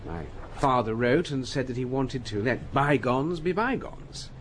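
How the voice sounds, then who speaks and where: An actor playing a breezy, sardonic gentleman with an upper-class English accent in a radio drama. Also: medium pitch, animated, a little slow, slight background noise, lightly acted. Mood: airy, candid.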